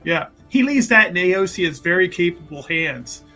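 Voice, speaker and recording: A man's voice: high voice, expressive, moderate pace, sharp tone. A man playing a tabletop roleplaying game, rambling jokily through his character's plans with mock-grand phrasing. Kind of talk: casual playful in-game banter and planning. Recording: music behind the voice. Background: background music.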